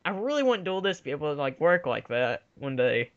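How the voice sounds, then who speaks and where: A man's voice: on the high side, sing-song, fast. A young man hosting a casual fan movie commentary, rambling and giving his opinions in an offhand chat. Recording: slightly dull lo-fi home recording.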